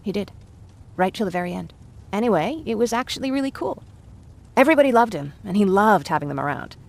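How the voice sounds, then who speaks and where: A woman's voice: medium pitch, expressive, quick pace, creaky. An actress playing an earnest, talkative young woman who survived a war, in a video game. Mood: wistful, chatty. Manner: candid, chatty. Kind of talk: naturalistic video game acting.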